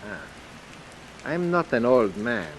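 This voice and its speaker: An actor playing a jealous middle-aged foreign husband in a 1940s radio detective drama, courteous and florid in fractured English, even when threatening. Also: male voice, mid-range voice, slow, vocal fry, poor audio. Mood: sly, hesitant. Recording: hissy vintage radio recording, rain overlaid.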